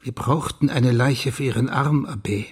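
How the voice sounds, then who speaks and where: An actor playing a sceptical, probing senior police official in a radio crime drama, with a dry, guarded manner. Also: male voice, medium pitch, normal speed, darkish tone, husky. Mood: placating.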